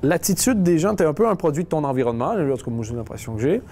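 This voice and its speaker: A man's voice: fairly high pitch, very animated, quick pace, mellow, a little hiss or hum. A young man, chatting casually and wryly in an interview, often restarting his sentences. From Quebec. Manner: conversational, wry, restarts often.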